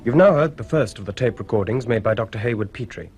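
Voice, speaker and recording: An actor playing a sober journalist, narrating a radio mystery in a deliberate, ominous manner. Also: male voice, fairly low pitch, expressive, a little mellow, husky, faint music behind. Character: sober male journalist presenting an ominous archive investigation. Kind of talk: scripted dramatic narration.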